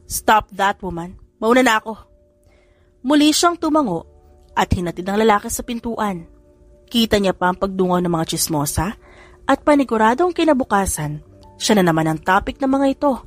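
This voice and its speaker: A woman narrating an audio drama story in a lively, expressive reading style. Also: mid-range voice, fairly quick, soft background music. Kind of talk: audiobook storytelling with character voices.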